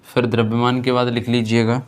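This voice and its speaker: A man's voice: medium pitch, monotone, brisk, a little hiss or hum. A man teaching a physics class, asking and answering his own questions and repeating key terms. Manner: frequent check-in tag after points. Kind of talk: classroom lesson, explaining while writing on a board.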